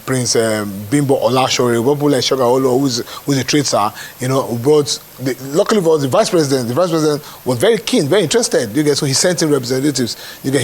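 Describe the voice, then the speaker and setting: A man's voice: medium pitch, a little hiss or hum. A Nigerian man talking as a show producer in an interview, often checking that the listener follows. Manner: repeats check-in questions to the listener. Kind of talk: animated spoken interview.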